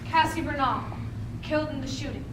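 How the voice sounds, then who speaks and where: A woman's voice: sits high, a little fast, close to an everyday voice. A young woman delivering dramatic, emphatic narration in a readers theater performance. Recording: echoey room, distant mic, clear noise.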